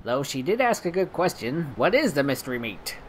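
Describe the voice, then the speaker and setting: Woman voicing an eager, lively male weather pony. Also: sits low, brisk, slight background noise. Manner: hesitant, bouncy, full of oohs and uhs. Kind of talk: mock news segment in a post-apocalyptic radio comedy.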